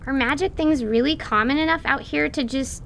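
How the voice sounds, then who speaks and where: A woman's voice: high voice, normal speed, gravelly, fairly bright tone, a little hiss or hum. A woman voicing a curious, talkative young girl adventurer in an audio drama.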